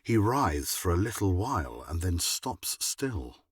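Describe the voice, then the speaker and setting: A man narrates scripted drama in a deep, husky voice. He takes his time, measured and dramatic, with plenty of movement in his pitch. The sound is close and dry. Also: big pitch swings, dry recording.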